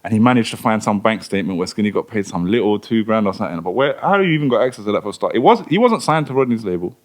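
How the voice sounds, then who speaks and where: A man co-hosting a podcast, telling a story in casual chat full of slang. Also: on the low side. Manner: fast, animated, slangy storytelling. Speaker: podcast co-host.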